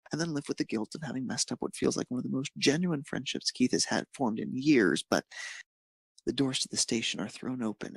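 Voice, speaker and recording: Husky, creaky male narrator. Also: medium pitch, fairly bright tone, no echo. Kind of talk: scripted fan fiction narration.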